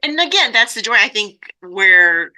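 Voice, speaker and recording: A woman's voice: mid-range voice, sing-song, unhurried, bright, forceful tone. A woman hosting a podcast, interviewing in a conversational style. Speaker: podcast host.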